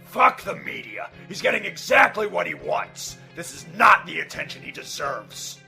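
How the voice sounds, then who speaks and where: A man playing a bitter, hot-headed, foul-mouthed detective in a radio drama, seething in a gravelly, forceful voice. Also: on the high side, very animated, normal speed, music in the background, full character voice. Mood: furious. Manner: explosive, seething. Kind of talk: amateur radio drama acting.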